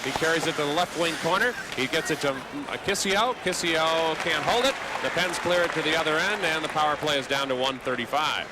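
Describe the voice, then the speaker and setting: High-pitched man calling hockey play-by-play. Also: fairly quick, few pauses, a loud crowd, heavy background noise. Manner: excitable on big plays. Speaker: play-by-play announcer. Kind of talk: live ice hockey commentary.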